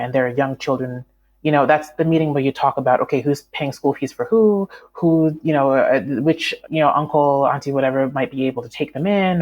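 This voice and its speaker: Husky-voiced woman, reflective interview guest. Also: very deep voice, darkish tone. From Canada. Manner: reflective, many fillers and restarts. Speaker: podcast guest, writer. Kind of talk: conversational interview.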